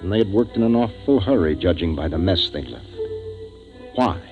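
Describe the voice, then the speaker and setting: An actor playing a gruff, decisive spaceship captain in a 1950s radio drama, narrating and giving orders. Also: male voice, deep voice, expressive, moderate pace. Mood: brooding narration. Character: gruff, decisive male spaceship captain, troubled but in command. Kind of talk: 1950s radio acting with first-person narration. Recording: dull 1950s radio recording, music behind.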